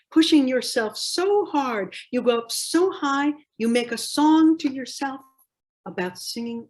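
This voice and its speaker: Storybook reader: woman, slow and deliberate, animated intonation, expressive phrasing. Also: fairly high pitch. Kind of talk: expressive picture-book read-aloud.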